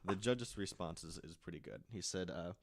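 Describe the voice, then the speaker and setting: A man's voice: low-pitched, moderate pace, background noise. A man telling a story casually to friends as a podcast panelist, conversational and checking in with listeners as he goes.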